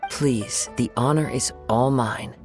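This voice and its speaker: A man playing a gentle, poetic young swordsman in a video game, speaking in a courteous, measured, formal way. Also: mid-range voice. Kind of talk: video game character acting. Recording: music behind.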